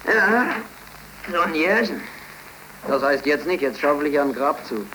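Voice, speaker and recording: An actor playing a morbid, bitterly laughing, jobless young man in a theatrical, halting 1930s radio play style. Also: a little high, animated. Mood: bitterly whimsical. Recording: hissy 1930s radio recording.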